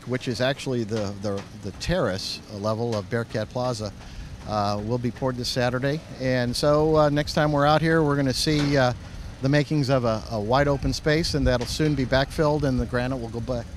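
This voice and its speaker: A middle-aged man, a project manager, answering interview questions outdoors at a construction site in a plain-spoken, halting way with many fillers, speaking into a handheld mic. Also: mid-range voice, slight background noise. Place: outdoors in an unfinished stadium under construction. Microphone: host's handheld microphone.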